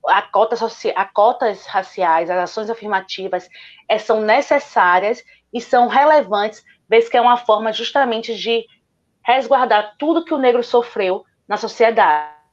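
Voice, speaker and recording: A woman's voice: on the high side, normal speed. Earnest Bahian woman on a muffled call. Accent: Bahian Portuguese. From Salvador, Bahia, Brazil. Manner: earnest, argumentative, repeats "justamente". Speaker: guest speaker, lawyer. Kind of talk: online panel Q&A answer.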